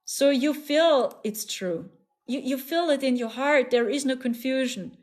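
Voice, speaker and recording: A woman whose first language is German, speaking English with a clear German accent. She hosts a live stream as a spiritual channeler, and her voice sits fairly high. She talks in an earnest, soothing, repetitive way and often breaks into small laughs. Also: a little slow, a little mellow. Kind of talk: casual live-stream talk.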